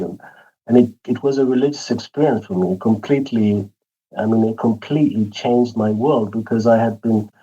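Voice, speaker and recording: A man, a literature professor answering interview questions in an earnest, discursive way, often pausing to find his words. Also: fairly low pitch, warm tone. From England. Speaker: guest professor of English literature. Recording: dull lo-fi audio.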